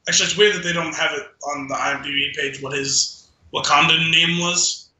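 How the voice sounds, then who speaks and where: A man with a very bright, forceful voice pitched a little high talks casually as a podcast co-host. His phrasing rambles and feels its way along. The room around him echoes noticeably. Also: fairly even intonation, fairly quick. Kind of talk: casual film discussion chat. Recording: roomy, echoing room sound.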